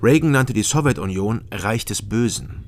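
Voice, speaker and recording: An actor playing a dogged, middle-aged East Berlin private detective in a radio crime drama, terse and matter-of-fact. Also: male voice, fairly low pitch, animated, normal speed, a little hiss or hum, natural voice. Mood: sober narrating. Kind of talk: radio crime drama dialogue and first-person narration.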